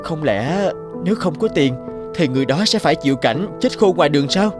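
A man's voice: fairly high pitch, expressive, a little fast, over music, lightly acted. A man voicing a kind, earnest young knight in a cartoon. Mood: dismayed.

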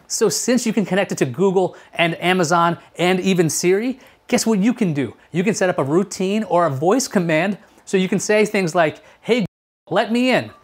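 A man's voice: high voice, moderate pace, husky. A man in his thirties presents a tech product review in a casual, talkative way. He explains things step by step and puts rhetorical questions to the viewer. He is recorded indoors in a small room at home, a short distance from the mic. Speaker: tech show host.

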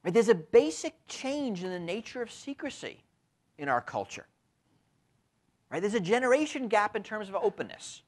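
A man in his fifties giving a university lecture, speaking emphatically and often tagging his points with a quick question, recorded on a lapel mic in a lecture room. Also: sits high. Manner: frequent tag questions, lively emphatic delivery. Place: lecture room with window blinds.

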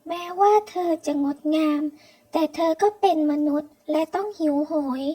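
A woman's voice: very high-pitched, normal speed, warm tone. A woman voicing a kind, chatty young girl, an earnest childlike younger sister, in a comic read-aloud.

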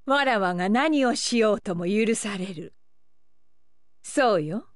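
A woman's voice: medium pitch, expressive, slow pace, dry recording, clean studio sound. A woman voicing a haughty, lovestruck young pirate empress in an anime video game, with imperious, archaic regal diction. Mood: haughty, commanding.

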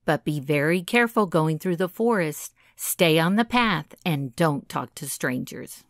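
A woman voicing a caring, cautioning mother in a children's puppet show, gentle and instructive. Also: deep voice, animated, a little slow, no echo, clean studio sound, pitched below the performer's own voice.

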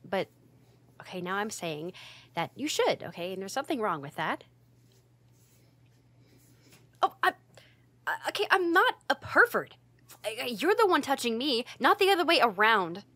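A woman's voice: on the high side, sing-song, moderate pace, bright, forceful tone, no room sound, full character voice. A woman playing a flustered, easily embarrassed tsundere roommate in an ASMR roleplay, stammering and defensive but secretly fond. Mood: flustered, demanding. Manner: stammering, defensive, huffy, hesitant.

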